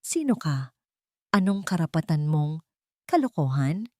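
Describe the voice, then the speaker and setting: A woman's voice: sits low, big pitch swings, a little slow, dark tone, no room sound, studio quality. A woman voicing an arrogant, demanding millionaire husband, the villain of a story. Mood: shocked, angry.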